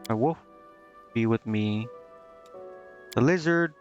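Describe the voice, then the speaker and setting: A man's voice: mid-range voice, slow. A man giving casual gameplay commentary, indecisive and muttering to himself as he streams. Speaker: game streamer. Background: game music.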